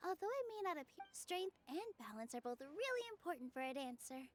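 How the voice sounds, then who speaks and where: An actress playing a sweet, polite young female dancer in a video game, gentle in manner. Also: high voice, big pitch swings, moderate pace, very noisy. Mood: friendly, bright.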